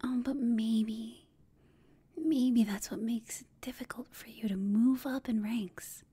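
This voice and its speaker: A woman playing a flirtatious, smug female villain who taunts an enemy in a breathy whispered ASMR roleplay. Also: a little high, fairly even intonation, dark tone, full character voice. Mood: flirtatious teasing. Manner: slow, teasing, smug.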